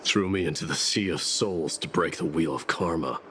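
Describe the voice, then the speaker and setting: An actor playing a stoic immortal warrior king who solemnly recounts his fallen comrades in measured, formal speech for a video game. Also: extremely low pitch, noisy background. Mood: solemn, grieving. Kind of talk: dramatic video game narration. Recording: loud music behind the voice. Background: game music.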